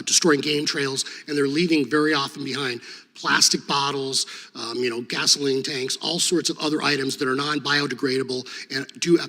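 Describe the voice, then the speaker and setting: A man's voice: mid-range voice, sharp tone. A man, a former state attorney general who grew up with Serbo-Croatian as his first language, giving earnest testimony at a congressional hearing at a desk microphone, with frequent fillers and restarts. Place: large hearing room. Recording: long hall reverb.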